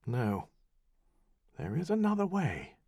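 A weary, guarded young magician, as a male narrator plays him, quiet and terse. His lines stay restrained with a close, dry recording sound. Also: on the high side, wildly swooping pitch, takes it slowly, slightly rough, dry recording, voice pushed up, full character voice.

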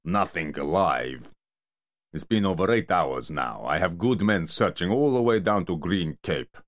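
A man doing a slow, bear-like dock boss. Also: low voice, expressive. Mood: calm. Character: bear-like burly dockside mafia man, calm.

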